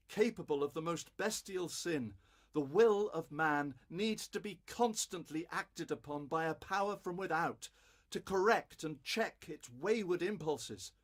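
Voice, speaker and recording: A man acts out a stern, authoritarian orator from 1830s England in an audio drama. His high-pitched voice declaims gravely, in formal rhetorical phrases.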